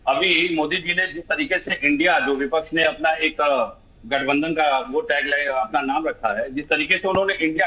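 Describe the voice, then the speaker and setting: A man speaks as a political panelist in a heated debate. His voice is high and sharp, and he presses his points with rhetorical questions. Faint noise sits behind him. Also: rough recording. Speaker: political panelist, party spokesperson. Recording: clear background noise.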